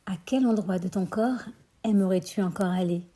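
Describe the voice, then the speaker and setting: A woman leading a guided mindfulness meditation for children, speaking as a gentle, soothing instructor who gives deliberate prompts. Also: medium pitch. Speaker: schoolteacher and children's yoga teacher.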